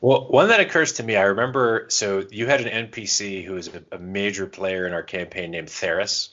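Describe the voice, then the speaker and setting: A man's voice: lowish voice, fairly quick, bright, forceful tone. A man co-hosting a podcast in a casual, talkative way, explaining his advice through examples and anecdotes. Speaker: podcast co-host. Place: podcast recording. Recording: dull, muffled lo-fi sound.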